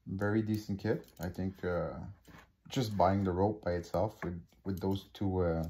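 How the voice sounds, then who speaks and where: A man's voice: sits low, steady tone, unhurried, mellow, slight background noise. A man with a Québécois French accent, a native French speaker, casually talking through an unboxing with many fillers and restarts, filmed on a phone over a living-room table. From Quebec. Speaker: hobby unboxing vlogger.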